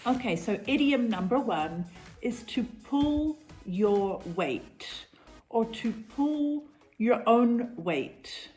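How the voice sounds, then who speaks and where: Slow, measured New woman teacher. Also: on the high side, expressive, warm tone, faint music behind, poor audio. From New Zealand. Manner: clear, measured explanations with repeated examples. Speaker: English teacher. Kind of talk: online English lesson.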